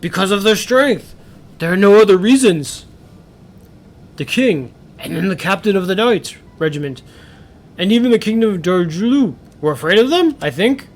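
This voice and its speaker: A man voicing an unsure knight captain and father, halting, with questioning upward endings. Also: high-pitched, expressive. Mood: solemn, uncertain.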